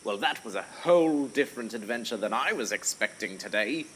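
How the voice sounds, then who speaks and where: Man playing a bright, excitable adventurer hero. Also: lowish voice, fairly quick, a little hiss or hum, rough recording. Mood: relieved musing. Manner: theatrical, exclamatory, talks to himself. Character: plucky, excitable male adventurer hero with quaint exclamations. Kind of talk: children's audio adventure serial acting.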